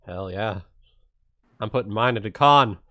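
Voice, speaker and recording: A man chatting casually as a tabletop game player, playful and quick to exclaim. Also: on the low side, pushed and bright. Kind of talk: casual chat in an online tabletop game session.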